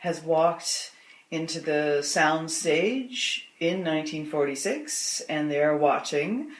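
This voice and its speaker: A woman's voice: low-pitched, expressive, takes it slowly. A woman performer chatting casually as she is interviewed with a group. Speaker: radio theatre performer, interviewee. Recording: echoey, distant room recording, noisy.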